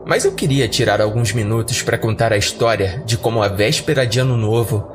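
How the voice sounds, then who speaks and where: A man's voice: lowish voice, fairly quick. Brazilian man narrating over music. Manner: colloquial, conversational storytelling. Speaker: horror story podcast narrator. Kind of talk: audiodrama narration in first person.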